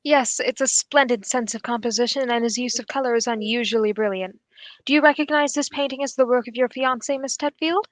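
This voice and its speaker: Woman as keen male detective, high-pitched, formal, analytical, assured, dry close sound, radio drama. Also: fairly quick, no room sound, close to an everyday voice.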